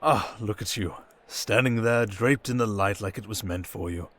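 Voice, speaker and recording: A man playing a suave, cunning villain in an intimate ASMR roleplay, speaking in a silky, theatrical, teasing way with deliberate pauses. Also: fairly low pitch, a bit bright, natural voice. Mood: admiring, tender. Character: suave, cunning male criminal mastermind villain, seductive and teasing.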